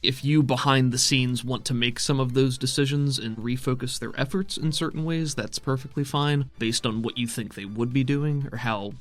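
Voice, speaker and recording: A man with a mid-pitched voice runs a tabletop roleplaying game. He describes scenes and explains how play will work as game master, with music faintly underneath. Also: fairly even intonation, moderate pace, few pauses. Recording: music behind the voice.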